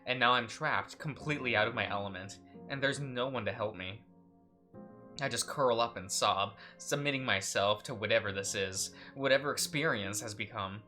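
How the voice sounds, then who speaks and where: A young man with a bright, forceful voice hosts a game playthrough. He chats and laughs easily and trips over words as he reads the story aloud. Soft music plays under him. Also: lowish voice, moderate pace. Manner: laughing, chatty, stumbles while reading. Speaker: let's play host. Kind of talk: casual let's play chat and reading aloud.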